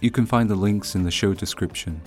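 A man's voice: fairly low pitch, a little fast, a little mellow, soft background music. A man hosting a podcast in scripted narration. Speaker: podcast host.

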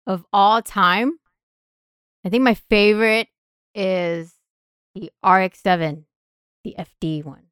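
Woman podcast guest just chatting, clear smooth medium voice, giggly, informal, really dry sound. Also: medium pitch, slow, long pauses, a bit bright, dry recording. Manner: informal, giggly, self-deprecating. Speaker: podcast guest and group member. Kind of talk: casual chat.

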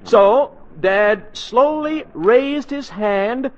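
A male announcer narrates a 1940s radio comedy with theatrical, wry flair. His voice is high and very lively in pitch. The old broadcast sounds muffled. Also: very slow.

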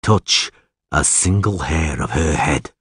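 A man voicing a grim, wounded monster hunter who speaks tersely and slowly in a fantasy audiobook, with a husky voice. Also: animated, no echo, studio quality, heavily acted. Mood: quiet menace. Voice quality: low, husky. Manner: slow, terse.

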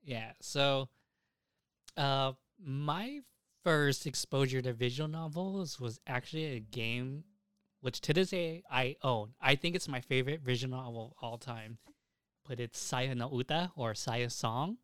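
A man's voice: medium pitch, unhurried, fairly bright tone, smooth, no echo. A young man chatting casually as a podcast host, emphatic and opinionated, repeating himself and swearing freely.

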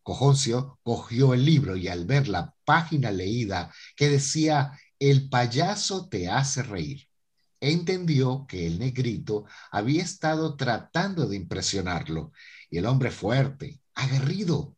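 A man's voice: medium pitch, big pitch swings, measured pace, breathy edge. A man narrating a scripted radio drama as its storyteller.

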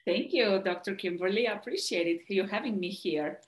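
Woman, Bulgarian accent, enthusiastic, mid pitch, echoey room. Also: brisk. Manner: enthusiastic, laughs often. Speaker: guest, body-mind coach. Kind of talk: podcast interview.

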